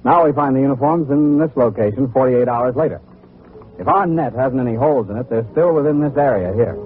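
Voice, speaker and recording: An actor playing a weary, methodical FBI agent, speaking in a measured, businesslike way in an old-time radio drama. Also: male voice, medium pitch, animated, slight background noise, lightly acted. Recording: tinny old radio recording.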